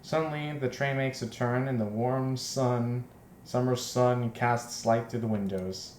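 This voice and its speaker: A man reading a visual novel aloud as a let's player, breaking in with loud, repetitive joking reactions. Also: mid-range voice, fairly even intonation, measured pace. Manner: exclaims loudly and repeats himself in reaction. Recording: roomy echo, faint background noise.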